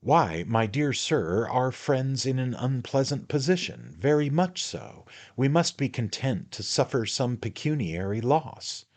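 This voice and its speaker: Man voicing a high, bustling, wheedling little lawyer; ingratiating and fussy. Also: expressive, a little slow, few pauses, darkish tone. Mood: coaxing. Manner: ingratiating, wheedling, repeats "my dear sir".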